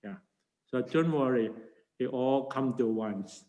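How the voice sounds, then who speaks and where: Older monk, slow, hesitant English, echoey classroom. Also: male voice, medium pitch, mellow. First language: Chinese. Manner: halting non-native English with many fillers and restarts. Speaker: Buddhist monk teaching a class. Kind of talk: informal dharma class talk.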